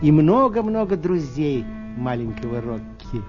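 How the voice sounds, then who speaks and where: A man narrating a children's fairy tale, with expressive, deliberate phrasing and pauses. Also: a little high, lo-fi sound, music in the background. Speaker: storyteller.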